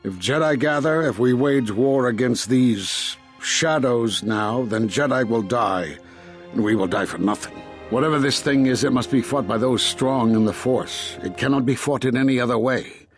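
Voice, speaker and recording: An older man playing a stern, cautious Jedi master in a video game, grave, deliberate and emphatic. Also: medium pitch, soft background music, lightly acted. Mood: grave, insistent. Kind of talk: video game dramatic acting.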